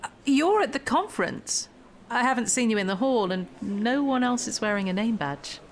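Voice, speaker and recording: A woman's voice: on the high side, animated, moderate pace, slight background noise, lightly acted. An actress playing a polished, evasive young professional woman in a naturalistic audio drama, poised and faintly condescending. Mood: guarded.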